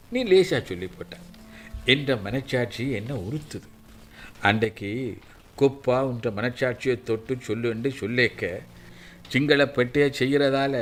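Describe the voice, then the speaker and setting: An actor playing a remorseful older father in a radio drama, speaking slowly and heavily. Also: mid-range voice, natural voice. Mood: agitated. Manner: slow, heavy, contrite speech.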